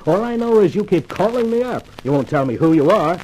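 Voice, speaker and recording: A man's voice: high-pitched, expressive, fairly quick, a little mellow. A man playing a flippant, self-assured celebrity columnist in a 1950s radio detective drama, with a wisecracking manner. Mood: exasperated. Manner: wisecracking, cocky. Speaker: lead actor and first-person narrator. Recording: noisy old radio drama recording.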